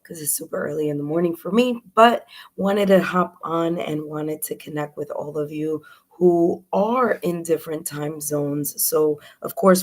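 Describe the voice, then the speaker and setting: Hoarse raspy lady doing a tarot live, lowish voice, chatty, lots of ums. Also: a little mellow. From New York. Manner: chatty, welcoming, with frequent fillers. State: hoarse, raspy from early morning and much talking. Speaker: tarot reader hosting a live stream. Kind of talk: live stream talk to viewers.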